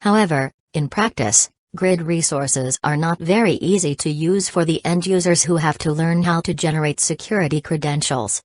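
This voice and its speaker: Low-pitched woman reading aloud. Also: normal speed, hardly any pauses, no room sound. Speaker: exam practice reader. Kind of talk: scripted read-aloud.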